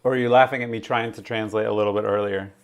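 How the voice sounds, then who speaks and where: A man casually hosting a livestream, rambling, laughing often and reading out viewer chat, his voice a little raspy. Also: lowish voice, steady tone, quick pace. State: slightly raspy voice. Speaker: livestream host.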